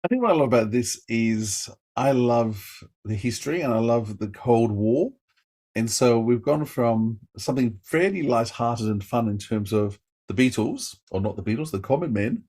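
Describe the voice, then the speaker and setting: A man co-hosting a podcast, giving a casual, enthusiastic review in a halting, effusive way. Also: medium pitch, animated, warm tone.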